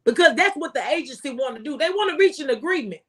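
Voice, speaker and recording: A woman's voice: high voice, lively intonation, fast talker. An American woman speaking as a former tax agent, giving folksy, emphatic advice and repeating phrases for stress. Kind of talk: impassioned conversational tax advice.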